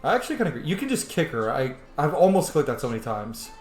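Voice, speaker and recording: A man's voice: a little high, very animated, fast talker. A man hosts a roleplay game session in casual commentary. His intonation moves around freely as he muses and explains. The voice has a roomy echo, with faint music behind. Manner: musing aloud, explanatory. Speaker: host.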